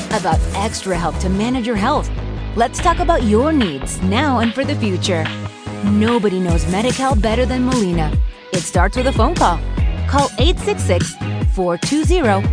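An American woman reading scripted advertisement narration as a commercial voice-over. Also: mid-range voice.

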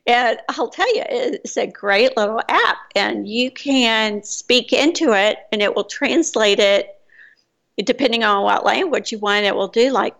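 A woman's voice: a little high, measured pace, creaky, fairly bright tone. A woman with a Texas accent, an American living abroad, chatting casually in a rambling way with fillers and restarts. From United States. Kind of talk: casual chat.

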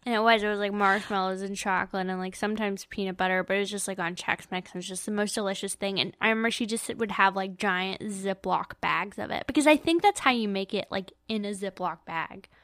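An American woman co-hosting a podcast, chatting casually about books in a playful, expressive way. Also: medium pitch, fairly quick, hardly any pauses, dry recording, clean studio sound. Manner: playful and expressive, acts out sound effects. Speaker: podcast co-host. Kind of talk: casual book chat.

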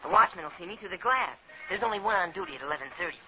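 A man's voice: high voice, racing, phone-quality sound. A young male actor playing an eager, cocky teenage boy who idolises his crook father, in a 1950s radio crime drama. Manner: excitable, boastful, slangy. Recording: hissy, band-limited old radio broadcast.

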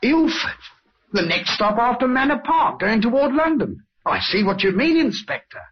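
A man's voice: extremely high pitch, sing-song, moderate pace, breathy edge, full character voice. An actor playing a dutiful, eager police sergeant in a 1950s radio drama, a man's voice. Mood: excited. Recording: dull old radio recording.

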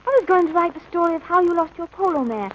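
A high, boyish voice of a young ranch boy. He is earnest and dreamy, an eager kid in a 1940s radio play who turns hesitant when explaining himself. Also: expressive, fast, a bit bright, low-quality recording. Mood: wistful, earnest. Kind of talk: 1940s radio drama acting.

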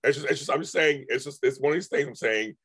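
A man hosting a casual live stream, his voice going hoarse, rambling with many restarts and repeated words. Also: medium pitch, fast, a bit bright. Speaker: livestream host.

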